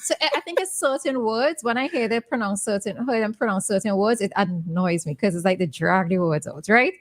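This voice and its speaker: A woman's voice: medium pitch, animated, fairly quick. A woman with a Vincentian accent co-hosting a casual morning radio chat, blunt and opinionated.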